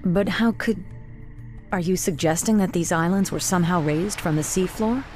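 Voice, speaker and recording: A woman's voice: lowish voice, normal speed, a little mellow, soft background music, natural voice. A woman voicing a dutiful night elf lieutenant in a fantasy game, earnest and deferential to her commander. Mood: surprised. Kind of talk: dramatic fantasy game acting.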